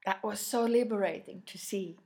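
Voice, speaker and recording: A woman whose native language is Swedish, giving an unscripted inspirational talk straight to the listener in a reflective way, often pausing mid-phrase. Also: mid-range voice, darkish tone, slightly rough. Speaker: inspirational speaker.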